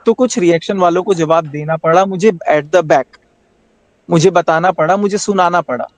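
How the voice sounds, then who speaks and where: A man with a notably high voice argues in a heated group call. He repeats himself insistently and cuts across others. He slips between Hindi and English, and the sound is dry and close. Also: normal speed, no echo, rough recording. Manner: switches between Hindi and English mid-speech. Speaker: panel participant at the centre of the dispute.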